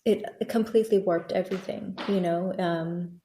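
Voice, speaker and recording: A woman in her thirties with a low, mellow voice speaks as an interview guest, recounting her own story in an earnest, reflective way. She often restarts her sentences. The room around her rings with noticeable echo. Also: steady tone, moderate pace, slight background noise. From born in Bangladesh, raised in Thailand. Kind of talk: candid conversational interview. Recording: echoey room.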